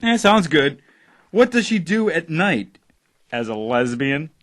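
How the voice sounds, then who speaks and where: Man playing a pushy, high-pitched radio boss. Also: big pitch swings, slow, fairly bright tone, exaggerated voice. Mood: dryly sarcastic. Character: pushy, sardonic male boss of struggling internet radio company. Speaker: actor playing a radio executive. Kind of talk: audio drama dialogue.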